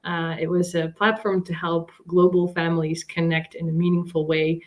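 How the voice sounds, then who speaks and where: A woman's voice: on the low side, flat delivery, moderate pace. A middle-aged woman with a Dutch accent, a native Dutch speaker, telling her story casually as an interview guest. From Netherlands. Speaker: interview guest, venture capital coach and founder.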